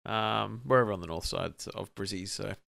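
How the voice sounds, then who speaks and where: A man's voice: fairly low pitch, a little fast, vocal fry, a bit bright, no room sound. A man with a Brisbane accent chatting in a laid-back way on a podcast, swearing casually. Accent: Australian, Brisbane. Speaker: podcast co-host. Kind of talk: casual chat.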